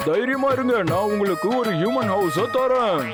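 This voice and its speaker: A smooth-talking eccentric inventor pitching his latest gadget. His voice runs high. Background music plays clearly throughout. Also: lively intonation, moderate pace. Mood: persuasive. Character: eccentric male inventor scientist. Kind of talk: Tamil-dubbed cartoon voice acting.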